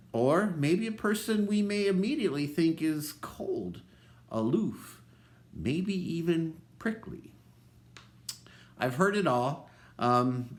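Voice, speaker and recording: A middle-aged man with a Minnesota accent, an associate pastor giving a casual devotional talk, full of ums and restarts. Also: mid-range voice. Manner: relaxed, conversational, many ums and restarts.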